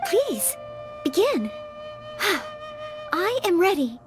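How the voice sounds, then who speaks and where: A woman's voice: very high-pitched, very animated, very slow, over music, heavily acted. An actress playing an earnest, polite young maid-knight woman in an anime-style video game, careful and sincere. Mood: determined.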